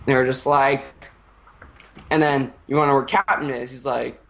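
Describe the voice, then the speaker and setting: A young man improvising a comic story in a casual, halting, rambling way with crude slang. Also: medium pitch, fairly even intonation, vocal fry, a bit bright.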